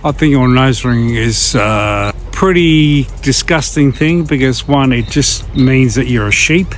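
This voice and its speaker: A man's voice: medium pitch, measured pace, slight background noise. A man speaking as a father, bluntly and teasingly criticising in a casual family chat.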